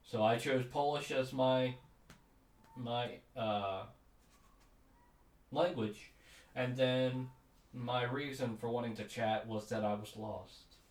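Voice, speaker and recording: A man's voice: medium pitch, steady tone, slow, long pauses, a little mellow. Man, podcast co-host, casual rambling chat, cynical, echoey room, distant mic. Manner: conversational, rambling, cynical asides. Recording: roomy sound, mic at a distance.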